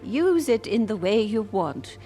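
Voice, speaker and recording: An actress playing a devout, earnest widow reading out her letters in a measured, old radio drama style. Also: mid-range voice, sing-song, mellow, faint music behind. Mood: warm, relieved.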